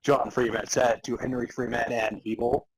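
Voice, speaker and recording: A man's voice: mid-range voice, flat delivery, moderate pace, creaky. A man narrating a comic story in a theatrical way, prone to breaking into laughter. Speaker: narrator and podcast host. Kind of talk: dramatic reading of comic fan fiction.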